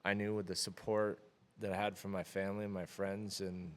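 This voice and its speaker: A man in his thirties from the Florida Keys, talking casually as an interview guest in a relaxed, rambling way with many fillers. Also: low voice, a little fast. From Florida Keys, USA. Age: 30s. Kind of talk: casual conversation.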